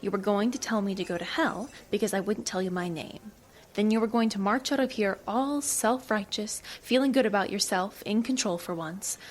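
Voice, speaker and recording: An actress playing a cool, evasive government agent in an audio drama, with a dry, teasing, knowing manner. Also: female voice, medium pitch, normal speed, close to an everyday voice. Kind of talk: naturalistic audio drama acting.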